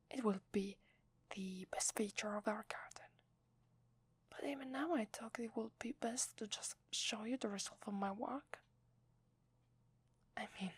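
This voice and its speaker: Breathy whispering Italian-accented wife, acted by a woman. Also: mid-range voice, dry recording. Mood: tender, nostalgic. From Italy. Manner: slow, hesitant, tender. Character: gentle, loving young wife with a secret. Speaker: ASMR voice actor. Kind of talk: ASMR roleplay, whispered.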